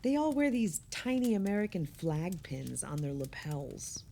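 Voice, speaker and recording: An actress playing a thoughtful, opinionated older woman in an audio play, speaking in a measured, naturalistic conversational way. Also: fairly low pitch, very animated, gravelly, mellow, a little hiss or hum, exaggerated voice. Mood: reflective.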